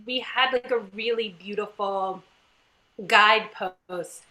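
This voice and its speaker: A woman's voice: mid-range voice, takes it slowly, bright, forceful tone, rough recording. A woman actor in a casual panel conversation, explaining her craft in an emphatic, searching way. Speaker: stage actor in a cast discussion.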